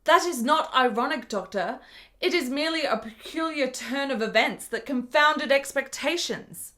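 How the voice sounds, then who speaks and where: A woman's voice: high-pitched, moderate pace, sharp tone. An actress playing a frail, ailing woman in her twenties in a period radio drama, earnest and frank with formal, precise phrasing. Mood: crisp, correcting.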